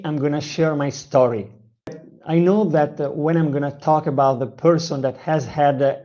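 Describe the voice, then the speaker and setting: A man's voice: on the high side, dark tone, highs cut off. A man who learned English as a second language, earnestly telling his personal learning story, with frequent fillers and restarts. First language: not English. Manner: earnest, halting with many fillers. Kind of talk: personal video narration.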